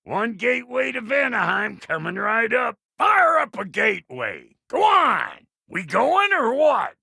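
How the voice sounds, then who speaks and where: Video game dwarf blacksmith: crude, cranky and sly, creaky and piercingly bright, folksy insults. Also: male voice, very high voice, big pitch swings, measured pace, no echo, clean studio sound, full character voice. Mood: bossy, impatient. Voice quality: gravelly, creaky, sharp. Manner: folksy, blunt, drawling slang and colorful insults. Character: crude, cantankerous, foul-mouthed dwarf blacksmith with a sly streak. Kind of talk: video game character acting.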